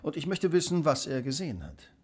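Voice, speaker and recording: An actor playing a brisk, courteous, intense gentleman detective in a German radio play. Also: on the high side, big pitch swings, slightly rough. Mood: courteous, probing. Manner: courteous, urgent, incisive.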